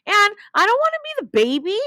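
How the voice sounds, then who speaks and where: A stubborn little storybook dog, as a woman reader puts it on, whiny and emphatic. The pitch jumps up high and swings around in protest. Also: huge pitch swings, a little fast, sharp tone, no echo, clean studio sound, full character voice. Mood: whiny, upset. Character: stubborn, protesting young cartoon-like dog, Red Dog.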